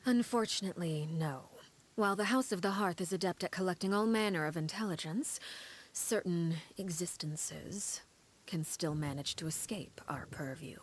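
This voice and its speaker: A woman's voice: lowish voice, normal speed, natural voice. An actress playing a cool, commanding spymaster woman in an anime video game, formal, guarded and composed.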